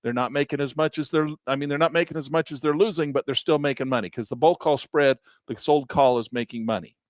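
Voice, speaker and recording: An older man teaching options trading in a live session, animated and exasperated, breaking into mocking high voices and low grumbles. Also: fast talker, no room sound, clean studio sound. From Southern Utah. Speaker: trading educator. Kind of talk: live-stream trading lesson, off-the-cuff rant.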